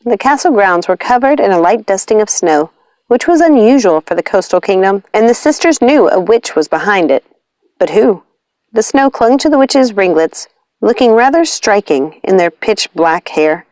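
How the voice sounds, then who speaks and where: A woman reading a fantasy storybook aloud in an expressive narrating style. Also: mid-range voice, normal speed, dry recording. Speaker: audiobook reader.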